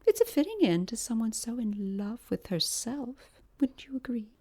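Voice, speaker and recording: A woman's voice: fairly high pitch, sing-song, moderate pace, dark tone. A woman playing a sultry, mocking villainess and lover in an audio drama, languid and mock-tender. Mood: sultry, cruel.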